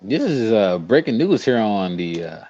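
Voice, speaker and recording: A man's voice: on the low side, sing-song, normal speed, warm tone. A man with a light Cleveland accent co-hosting a sports podcast in casual banter. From Cleveland, USA. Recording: dull, lo-fi microphone sound.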